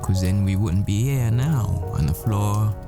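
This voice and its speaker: A man's voice: fairly low pitch, measured pace, over music, lightly acted. A man playing a secret hitman who poses as a professor, a smitten and haunted boyfriend in an intimate audio roleplay monologue. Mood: fond, teasing.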